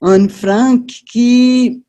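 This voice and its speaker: A woman's voice: on the high side, fairly even intonation, extremely slow, warm tone. An older woman with a Northeastern Brazilian accent, reminiscing casually as an interview guest, chatty and full of asides and tag questions.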